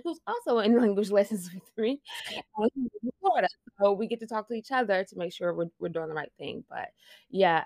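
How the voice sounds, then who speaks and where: A woman with a Louisiana Southern accent talks in a lowish voice, reflective and earnest, often laughing and starting her phrases over. The recording is close and dry, with no room sound. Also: darkish tone, no echo. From Louisiana, USA. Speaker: interview guest, fashion project manager. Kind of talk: casual conversational interview.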